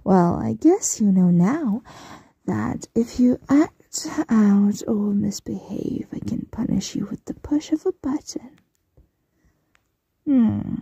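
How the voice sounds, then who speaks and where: A woman playing a possessive, smugly menacing mistress in an ASMR roleplay, breathy and often whispering, with a teasing, condescending coo. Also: mid-range voice, expressive, warm tone, no echo, muffled recording, full character voice. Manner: teasing, condescending, drawn-out cooing.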